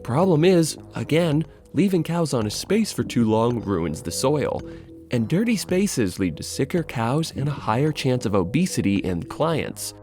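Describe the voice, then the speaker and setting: A man's voice: medium pitch, sing-song, a little slow, a little mellow, soft background music. A man narrating a scripted video essay as its host, in a wry, sardonic, casually sweary way.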